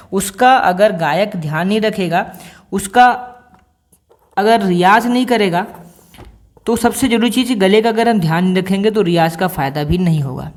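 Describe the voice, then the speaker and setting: A man giving a spoken singing lesson, patient and explanatory, repeating key points and posing rhetorical questions to his students. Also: sits high. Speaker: singing teacher.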